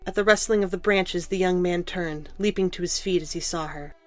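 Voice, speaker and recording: Female storybook narrator for an audio drama, quite deep voice, light music underneath. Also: brisk, a little mellow, no echo.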